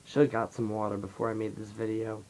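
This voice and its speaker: A low, flat-voiced teenage guy with a raspy, dry-throated sound, rambling softly through a personal vlog. He leans on lots of fillers as he opens up to the camera. A faint rumble sits underneath. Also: brisk, rough recording. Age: late teens. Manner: soft-spoken, rambling, heavy on fillers. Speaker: vlogger. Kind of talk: confessional casual monologue.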